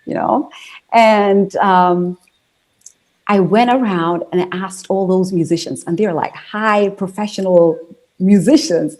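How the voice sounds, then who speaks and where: A woman from Ethiopia, with Amharic as her first language, talks through her story as an interview guest. Her tone is mellow and dark, and she tells it in a loose, chatty way, restarting phrases and dropping in fillers. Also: mid-range voice, slow pace. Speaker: interview guest, singer and speaker. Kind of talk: conversational interview.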